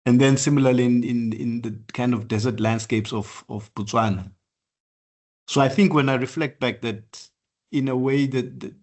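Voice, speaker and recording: An older man from southern Africa gives a lecture on his work. He speaks in a measured, halting way, pausing often on fillers and starting phrases over. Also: mid-range voice, a little mellow. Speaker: architect lecturer. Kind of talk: online practice lecture.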